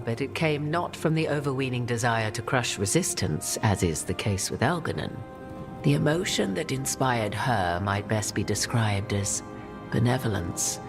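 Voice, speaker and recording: A woman playing a regal, worldly-wise witch in a fantasy game, with formal, archaic and measured phrasing. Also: rumbling low, heavily acted. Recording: music under the voice.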